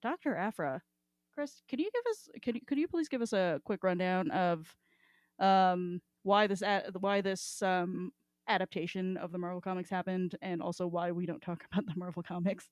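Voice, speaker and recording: Woman, podcast host, clear smooth voice, dry close sound, irreverent, sweary, casual chat. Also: on the low side, normal speed, no echo.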